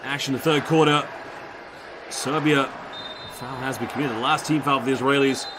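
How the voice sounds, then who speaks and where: A man's voice: medium pitch, fairly even intonation, normal speed. Chatty London play-by-play man calling basketball over a noisy arena feed. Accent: London English. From England. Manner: chatty, reads out viewer shout-outs between plays. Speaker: play-by-play commentator. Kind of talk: live basketball commentary.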